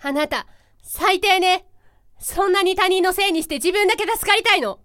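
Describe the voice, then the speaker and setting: Woman acting very high-voiced young female student. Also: normal speed, a bit bright, no room sound. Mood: angry. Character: bright, kind, popular young woman student. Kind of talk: Japanese voice drama acting.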